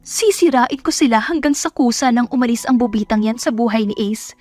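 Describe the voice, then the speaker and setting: A woman's voice: fairly high pitch, fairly quick, darkish tone, soft background music, lightly acted. A woman voicing a scornful, jealous young rich woman in an audio romance drama, indignant and haughty as she spits out her words. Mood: vengeful, scheming. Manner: indignant, haughty, spitting insults.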